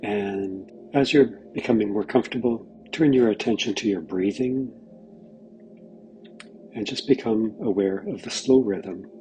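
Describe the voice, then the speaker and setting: Man, low voice, very slow pacing, gentle guided meditation delivery, frequent mid-phrase pauses. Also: slight background noise, rough recording. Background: background music.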